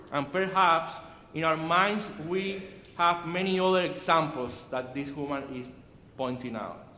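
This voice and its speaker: A man with a Cuban Spanish accent delivers a sermon slowly and earnestly, his voice a little high for a man. He often stops and restarts words as he reaches for them in English. The recording is roomy, with long reverb from a church sanctuary heard from some distance. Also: fairly bright tone, a little hiss or hum. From Cuba. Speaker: preacher. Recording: echoey hall from far off.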